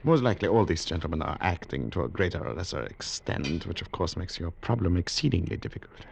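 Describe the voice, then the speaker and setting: An actor playing a courteous, sly colonial government official in a 1940s radio drama, speaking formally with polite insinuation. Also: male voice, deep voice, sing-song, quick pace, gravelly, full character voice. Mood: sly, amused. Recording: noisy vintage radio recording.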